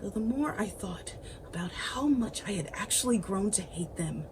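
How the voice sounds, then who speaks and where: A woman's voice: medium pitch, lively intonation, normal speed, background noise, natural voice. A woman playing an unhinged, murderous young thrill-seeker in a theatrical, darkly gleeful dramatic monologue.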